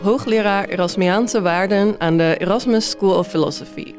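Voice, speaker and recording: A woman's voice: medium pitch, normal speed, a little mellow, soft background music. A woman hosting a conversational book podcast. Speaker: host.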